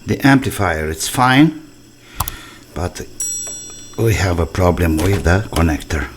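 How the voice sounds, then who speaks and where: Low-voiced, slow-talking man. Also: expressive, over loud music. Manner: halting, thinking aloud in short fragments. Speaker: electronics repair hobbyist presenting his restoration. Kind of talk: casual hands-on repair commentary. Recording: clearly noisy recording with clicks.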